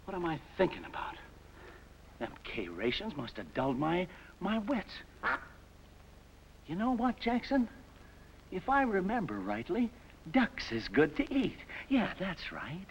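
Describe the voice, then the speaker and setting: A man's voice: sits high, huge pitch swings, unhurried, pauses often, slight background noise, heavily acted, low-quality recording. A male actor playing a blustering, slangy American army sergeant in a 1950s film comedy. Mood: scheming. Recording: old 1950s film soundtrack with music.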